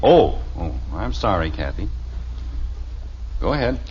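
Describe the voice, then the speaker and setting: A man's voice: low-pitched, animated, unhurried, a little mellow. An actor playing a grumpy, sarcastic suburban father who interrupts in exasperation, in the style of an old radio family comedy. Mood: wry, grudging. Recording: noisy, muffled old radio recording.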